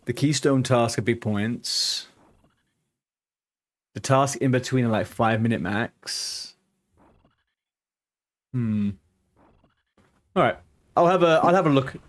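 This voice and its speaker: Chatty man with a softened London accent. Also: mid-range voice, slow, pauses often. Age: 30s. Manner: chatty and rambling, answers viewers' chat aloud. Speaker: game streamer. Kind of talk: casual live-stream chat.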